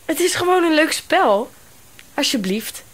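An actress playing an unruffled, playful daughter in a radio drama, talking in a breezy, teasing manner. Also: female voice, sits high, animated, a little slow. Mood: coaxing. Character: amused, unruffled, playful daughter of an eccentric man.

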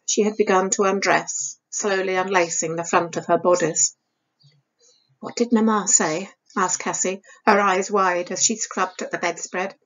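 A woman's voice: mid-range voice, muffled recording. Older woman narrating a story. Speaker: author reading her novel. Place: small room indoors.

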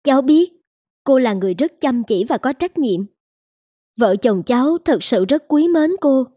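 Woman as a bright-voiced, earnest young cartoon mother. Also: sits high, expressive, moderate pace, no echo, clean studio sound. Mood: firm, polite. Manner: polite, reasoning, firm. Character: earnest, firm young mother of a small boy. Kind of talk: scripted cartoon dialogue.

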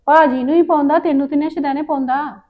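A stern, meddling auntie presses her advice on a younger woman, played by a woman. The voice is on the higher side and the recording sounds dull and lo-fi. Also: fairly even intonation, quick pace, natural voice. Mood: lecturing. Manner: lecturing, stern. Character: meddling elder woman giving unwanted advice.

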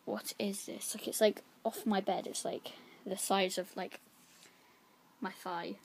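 Teen girl vlogger, croaky, rambling chat, phone mic, faint noise behind. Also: mid-range voice, steady tone, a little slow. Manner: chatty, rambling, lots of "like". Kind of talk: casual vlog. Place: bedroom, then back garden. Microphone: phone held at arm's length.

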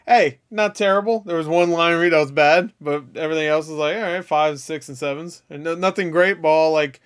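A man's voice: on the high side, a little fast. A man hosting a reaction video with casual, joking commentary, irreverent and slangy with some swearing.

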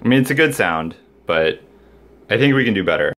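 A man's voice: fairly low pitch, lively intonation, brisk, fairly bright tone, soft background music. A man talking casually and enthusiastically to viewers as he hosts a hobby project vlog, recorded indoors at home. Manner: informal, enthusiastic. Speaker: DIY video maker, host. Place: indoors, small home room.

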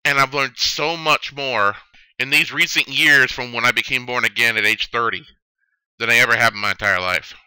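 Thirties male, solemn preaching testimony, very bright and crisp voice, dry close sound. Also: mid-range voice, steady tone, no room sound, rough recording. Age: 30s. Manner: solemn, drawn-out preaching cadence with sudden stresses. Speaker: video testimony speaker. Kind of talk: earnest religious testimony monologue.

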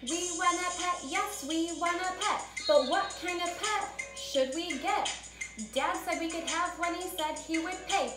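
A woman's voice: very high voice, a little slow. A young woman reading a children's story in a playful, singsong, rhyming delivery, as a preschool storyteller. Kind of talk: rhythmic children's story reading over a beat. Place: small room indoors, at a table. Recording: echoey room with music beat. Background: hip-hop beat.

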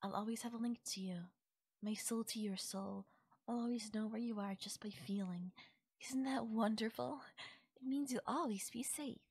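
A woman's voice: a little high, normal speed, darkish tone, slightly rough, no room sound, studio quality. A woman playing a possessive, doting fairy captor in an intimate ASMR audio roleplay, speaking sweetly and lilting, sometimes nearly whispering. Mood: soft, possessive.

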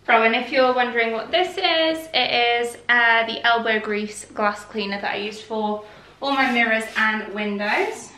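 A woman's voice: fairly high pitch, unhurried, bright, forceful tone. Chatty British woman in an echoey room. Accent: British English. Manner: chatty and upbeat, easily startled. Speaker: home vlogger. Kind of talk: casual clean-with-me narration. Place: living room at home, indoors. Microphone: camera mic from across the room. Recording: roomy, distant camera sound.

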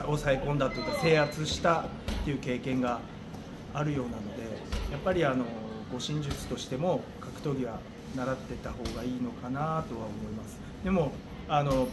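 A middle-aged man giving interview answers as a gym coach, thinking aloud with hesitant pauses. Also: mid-range voice. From Japan. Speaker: gym owner and martial arts coach. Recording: clearly noisy room ambience.

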